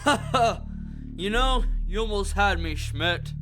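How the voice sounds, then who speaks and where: Male actor as menacing smug boss, high-pitched, unhurried, taunting, mock-polite, audio drama over music. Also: lively intonation.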